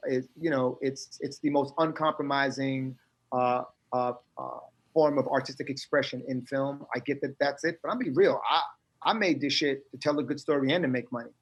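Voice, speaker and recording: A middle-aged American man, a guest on a casual podcast interview, talking in an animated, emphatic, streetwise way. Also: medium pitch, fairly quick. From United States.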